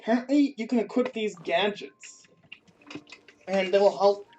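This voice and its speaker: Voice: mid-range voice, a little slow, darkish tone, highs cut off, a little hiss or hum. A gamer giving casual commentary while playing a video game. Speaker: gaming streamer.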